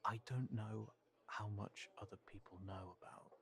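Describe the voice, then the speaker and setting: A man playing a cautious, protective fantasy character in an intimate audio roleplay, speaking haltingly and thoughtfully as if to one companion. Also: on the low side, steady tone, gravelly. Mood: worried, hesitant. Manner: hesitant, halting, thoughtful, trailing off.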